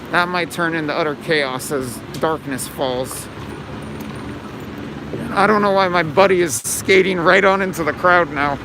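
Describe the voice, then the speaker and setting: Hoarse man livestreaming on a street. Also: fairly high pitch. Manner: calm, chatty commentary while walking. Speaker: streaming journalist. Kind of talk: live street livestream narration. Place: city street outdoors. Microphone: handheld phone camera. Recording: loud street noise outdoors. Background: traffic and street noise.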